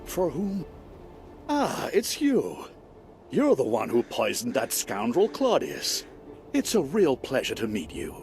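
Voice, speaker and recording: An actor playing a hearty, admiring stable hand in a fantasy video game, effusive and teasing. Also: male voice, medium pitch, huge pitch swings, slow pace, a little mellow, faint music behind, heavily acted.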